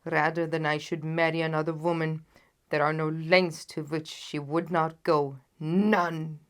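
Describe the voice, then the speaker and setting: A woman's voice: deep voice, little pitch movement, measured pace. A woman voicing a haughty, anxious king in a storybook, speaking in a solemn and emphatic way. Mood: grave, anxious. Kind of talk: dramatised audiobook reading.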